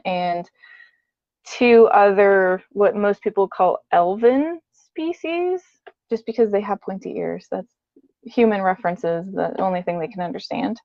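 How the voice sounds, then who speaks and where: Woman guest telling her own story casually, matter-of-fact, mid pitch, dull lofi dry sound. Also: dry recording. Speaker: interview guest. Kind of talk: conversational personal account.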